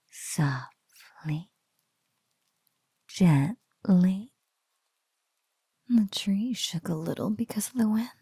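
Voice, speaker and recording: A woman playing a teasing, doting spider-woman captor in an ASMR roleplay, speaking softly in breathy whispers and lingering playfully over the words. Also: fairly low pitch, dry recording, heavily acted. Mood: teasing, hushed. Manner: slow, soft, breathy, lingering teasing delivery.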